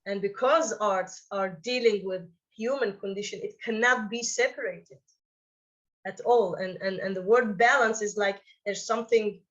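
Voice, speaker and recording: A woman speaks in a middle-pitched voice, halting and repeating small words. The audio sounds slightly dull and lo-fi. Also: a bit bright. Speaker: director on a festival panel. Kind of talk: Q&A answer.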